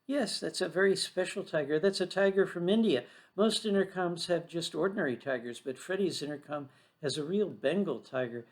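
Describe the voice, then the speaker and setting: Storybook role: man as curious, knowing boy, earnest explainer, voice a little high. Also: moderate pace, natural voice. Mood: assured, excited. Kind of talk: children's storybook read aloud.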